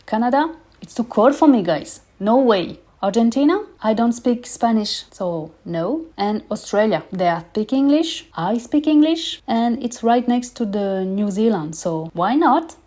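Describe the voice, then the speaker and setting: A woman's voice: fairly high pitch, expressive, a little slow, few pauses, mellow. A French woman in her thirties hosting a personal podcast, speaking candid, earnest English as a second language with small grammar slips. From France. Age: 30s. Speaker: podcast host.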